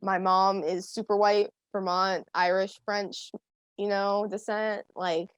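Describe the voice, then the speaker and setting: A woman's voice: mid-range voice, flat delivery, takes it slowly, clear, resonant tone, no room sound. A teenage girl, a guest, chatting casually with many fillers and laughs. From United States. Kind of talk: casual chat.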